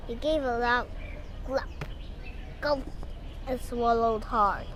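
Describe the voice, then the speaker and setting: Young girl child, high voice, slow halting storybook read-aloud, faint background noise. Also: fairly bright tone. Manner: halting, stumbling over words. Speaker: young reader.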